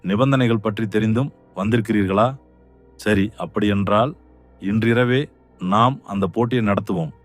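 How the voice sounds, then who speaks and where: A man's voice: on the low side, moderate pace, soft background music, close to an everyday voice. A man voicing a greedy, scheming king in a children's cartoon, speaking in a stern, boastful, commanding way. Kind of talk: cartoon voice acting.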